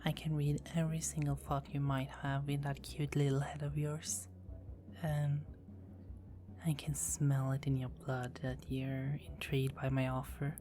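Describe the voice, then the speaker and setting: A woman's voice: very deep voice, level tone, measured pace, noisy background. A woman voicing a seductive, dominant female vampire in an ASMR roleplay, speaking in teasing, close whispers.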